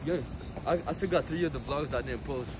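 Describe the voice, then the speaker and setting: A young man bantering excitedly with friends on a city street at night, full of slang. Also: medium pitch, brisk. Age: young adult. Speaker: friend of the vlogger. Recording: phone audio with street noise.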